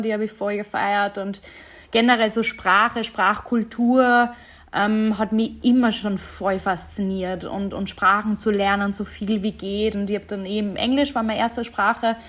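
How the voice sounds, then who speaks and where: A young woman, a singer-songwriter answering interview questions in a chatty way, with many fillers and frequent laughs. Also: medium pitch.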